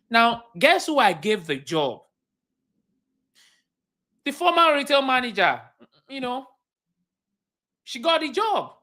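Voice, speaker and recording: A woman's voice: on the high side, bright, forceful tone. A woman with a Nigerian accent coaching viewers on a livestream. She talks emphatically, repeats her key points and puts questions to her audience. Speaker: cybersecurity career coach. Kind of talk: livestream teaching talk.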